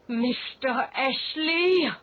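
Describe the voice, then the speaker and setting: A man voicing an indignant, shrill genteel lady who scolds in a high falsetto. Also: very slow, a little hiss or hum, exaggerated voice. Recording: dull lo-fi recording.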